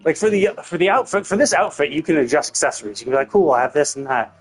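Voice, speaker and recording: A man livestreaming a game with casual, rambling commentary, thinking out loud, cutting himself off and swearing freely. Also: fairly high pitch, lively intonation, quick pace, slightly rough, faint music behind. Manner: casually profane. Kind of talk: casual gameplay stream commentary.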